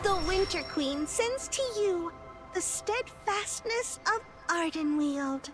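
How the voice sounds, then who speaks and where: Casting: tiny-sounding courtly fairy herald woman, game cutscene, piercingly high register, formal, scored. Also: expressive, takes it slowly, full character voice. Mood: ceremonial. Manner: formal, ceremonial. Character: courtly, airy female envoy of fairy queen. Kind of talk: fantasy game cutscene acting. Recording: music behind the voice. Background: game score music.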